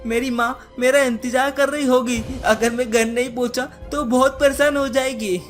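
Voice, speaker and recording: Woman as a scared high-pitched village boy. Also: steady tone, moderate pace, natural voice. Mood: frightened pleading. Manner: scared, pleading. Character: frightened small village boy begging for release. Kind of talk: cartoon story character voice. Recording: music behind the voice.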